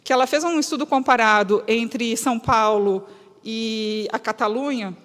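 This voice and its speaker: A woman with a southern Brazilian gaúcho accent speaks in a notably high voice. She lectures in an earnest, semi-spontaneous way, restarting phrases and pressing her points. Long reverberation surrounds her, as in a large hall. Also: normal speed, fairly bright tone. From Rio Grande do Sul, Brazil. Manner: earnest, emphatic, often breaking off and restating. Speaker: guest speaker. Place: reverberant hall, live talk to an audience.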